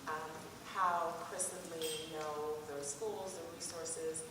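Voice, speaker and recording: A woman's voice: sits low, steady tone, slow. A woman giving an informal, conversational answer as an admissions panelist. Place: large hall at a public panel event. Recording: echoey noisy hall, distant mic.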